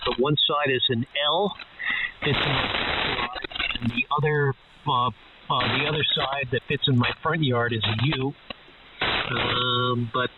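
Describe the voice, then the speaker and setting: Male ham operator, hesitant and unhurried, thin narrowband radio sound buried in loud static. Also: mid-range voice. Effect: heard over amateur radio link. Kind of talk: casual ham radio conversation.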